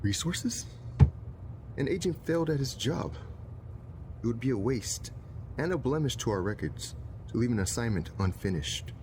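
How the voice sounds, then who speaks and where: A man playing a demanding spy agency commander in an audio drama, with formal, measured wording. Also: medium pitch, lively intonation, background noise, close to an everyday voice. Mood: stern, challenging.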